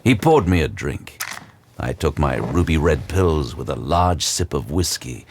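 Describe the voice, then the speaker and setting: An actor playing a weary, haunted detective who narrates his own audio drama in a brooding, deadpan way. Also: extremely low pitch, unhurried, a little hiss or hum, exaggerated voice. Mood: weary, wry. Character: weary, haunted male demon-hunting detective, grimly wry. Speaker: lead character narrating in the first person. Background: dramatic music.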